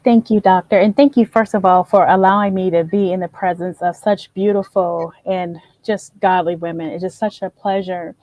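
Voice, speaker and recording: A woman's voice: fairly low pitch, steady tone, moderate pace, few pauses, a little mellow, no echo. Gracious woman speaking in a dry, close voice. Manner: gracious, reflective. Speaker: panel guest. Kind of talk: webinar panel discussion.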